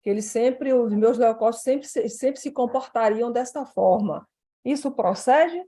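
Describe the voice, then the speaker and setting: Mellow-voiced Brazilian woman in conversation. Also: on the high side, measured pace. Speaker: participant asking health questions. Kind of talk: casual conversation.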